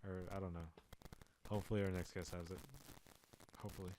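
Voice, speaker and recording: A man's voice: sits low, little pitch movement, quick pace, darkish tone, a little hiss or hum. A young man hosting his own podcast, rambling casually with lots of fillers, restarts and swearing. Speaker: podcast host. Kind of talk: casual solo talk.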